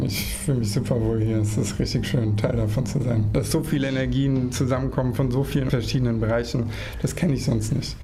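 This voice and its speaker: A DJ talks easily and upbeat about joining a theater team. His voice is gravelly and mellow, with a low hum of ambient noise behind him. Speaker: DJ and composer. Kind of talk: interview answer.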